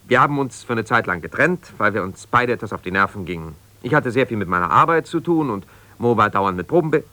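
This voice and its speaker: An actor playing an evasive, ambitious lawyer whose marriage has broken up, talking haltingly and reassuringly yet with exasperation in a naturalistic radio drama. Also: male voice, fairly low pitch, bright, forceful tone. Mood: defensive, placating. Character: ambitious lawyer husband, evasive, placating, strained, newly separated. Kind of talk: naturalistic 1970s German radio drama, one-sided phone calls.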